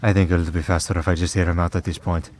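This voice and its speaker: Man acting a deep-voiced, wry vampire priest. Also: little pitch movement, fast, a little mellow. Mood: wry, exasperated. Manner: sardonic, bantering, conversational. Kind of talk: ASMR roleplay acting.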